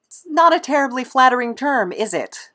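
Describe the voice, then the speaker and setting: A woman's voice: sits high, animated, normal speed, bright, forceful tone. Woman playing an earnest, plaintive idealist. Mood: distressed. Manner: plaintive, questioning. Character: earnest, sentimental idealist troubled by injustice. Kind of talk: audio drama acting.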